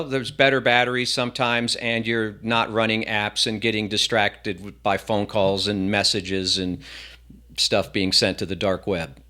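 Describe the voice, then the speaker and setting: A man's voice: medium pitch, bright, forceful tone. A man hosting a casual gadget review, chatting to the viewer with self-deprecating asides. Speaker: tech reviewer, host.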